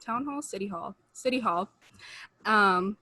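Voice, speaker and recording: A woman's voice: mid-range voice, animated, measured pace, bright, forceful tone, dry recording. A young woman co-hosting a podcast, talking earnestly in casual chat on a video call from home. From United States. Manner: earnest, talks with rising feeling, many "like" and "you know". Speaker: podcast co-host. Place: room at home, indoors. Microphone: video call webcam mic.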